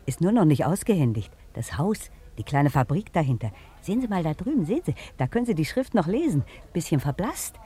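A woman's voice: deep voice, very animated, fairly quick, dark tone, slightly rough, exaggerated voice. An actress in a radio play playing a poor, cheated old woman, confiding and obsessively insistent about her rights. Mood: fixated, insistent. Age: older.

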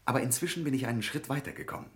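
A man's voice: medium pitch, racing, natural voice. A man playing an earnest, devoted, self-deprecating young friend in a radio drama. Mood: earnest, urging.

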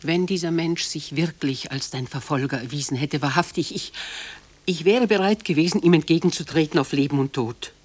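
A woman's voice: low voice, a little mellow, slightly rough. An actress playing a proud, protective elderly mother in a German radio drama, formal and emphatic as she pleads for her son. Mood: urgent, reasoning. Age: older.

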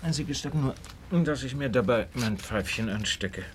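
An actor playing an urbane, observant gentleman detective, courteous and self-assured, in a radio play. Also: medium pitch, normal speed, slight background noise, close to an everyday voice.

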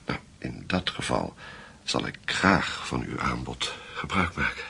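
An actor playing a courteous, hesitant investigator in a radio play, with a gravelly, husky voice. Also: male voice, a bass voice, big pitch swings, a little slow, bright, forceful tone, heavily acted. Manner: hesitant, polite. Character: courteous, hesitant male investigator staying at a hotel.

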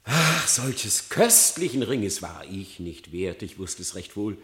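A man's voice: on the low side, big pitch swings, slow pace, a bit bright, echoey room, heavily acted. An actor playing a cunning male fox on trial in a radio play, pleading and then defiant. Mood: wheedling. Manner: pleading, wheedling, rising to bold defiance.

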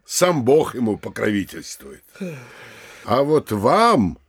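An actor playing a pompous, anxious middle-aged town mayor in a comedy audio drama, officious and emphatic, with a gravelly, husky voice. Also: male voice, medium pitch, big pitch swings, heavily acted. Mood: officious, lecturing. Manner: officious, lecturing, theatrically emphatic with pauses.

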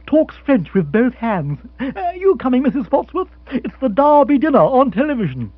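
A booming, joke-cracking upper-class man, as a male audiobook reader puts him on. The husky voice sits high and swings widely, full of chuckling asides. The recording is dry and a little dull. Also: wildly swooping pitch, moderate pace, no room sound, exaggerated voice. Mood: jovial. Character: hearty, loud, jokey upper-class man. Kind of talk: audiobook character voice.

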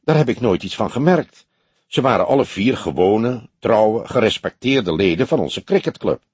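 Audiobook role: composed, frank older lady played by a male reader, soft-spoken, thoughtful, animated pitch, close dry recording. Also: slightly rough, no echo. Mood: frank, measured. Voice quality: soft, friendly voice. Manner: measured, genteel, thoughtful. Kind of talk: audiobook character dialogue.

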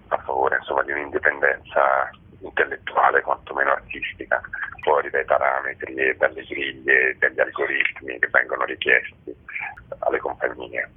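A man giving halting, measured, reflective answers as a theatre director interviewed over a tinny telephone line. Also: a bass voice, slightly rough. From Rome, Italy. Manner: halting, measured, with pensive pauses. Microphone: phone call.

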